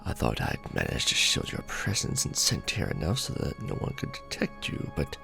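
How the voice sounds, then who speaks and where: A man playing an ancient vampire lord in an ASMR romantic roleplay, formal and protective, with measured, theatrical diction. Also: very deep voice, wildly swooping pitch, gravelly, over music, exaggerated voice. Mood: grave. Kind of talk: ASMR romantic roleplay, scripted character acting.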